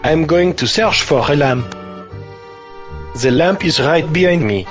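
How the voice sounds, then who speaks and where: Bumbling police puppet, highish voice, stilted and literal, muffled lo-fi audio. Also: male voice, expressive, measured pace, faint music behind. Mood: bossy, slow-witted. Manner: stilted, officious, literal-minded. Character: bumbling, pompous French police officer puppet. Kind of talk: comic puppet show acting.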